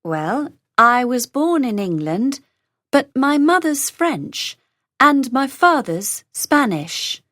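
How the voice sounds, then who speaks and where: A woman reading a scripted conversation for English learners, saying each line slowly and carefully, then repeating it. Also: high-pitched, sing-song, fairly bright tone, no room sound, studio quality. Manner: slow, carefully enunciated, lines read three times over. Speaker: dialogue reader for English learners.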